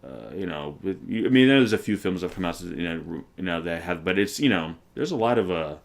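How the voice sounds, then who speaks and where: A man's voice: deep voice, expressive, fairly quick, gravelly. A man co-hosting a trailer reaction video, talking at length in an earnest, opinionated way and asking rhetorical questions. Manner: earnest, long-winded, asks rhetorical questions. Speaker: co-host of a movie trailer reaction channel. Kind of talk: casual opinionated movie talk.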